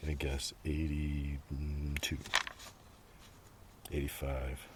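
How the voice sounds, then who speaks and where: A man's voice: a bass voice, monotone, extremely slow. A man giving a casual, rambling ASMR show-and-tell outdoors, half murmuring and drifting into whispers. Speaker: host of a collection show-and-tell. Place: outdoors in a gentle breeze. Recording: outdoor noise, light breeze. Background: breeze, paper rustling and page flipping.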